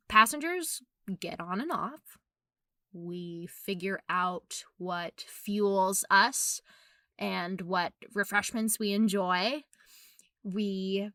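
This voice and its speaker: A woman's voice: medium pitch, bright, forceful tone. A woman acting an anxious, self-deprecating single New Yorker in a comedic audio drama monologue about herself. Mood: reflective, earnest. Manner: self-deprecating, wry, confessional. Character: anxious single New York woman spiraling into existential crisis. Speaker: lead actor in radio comedy.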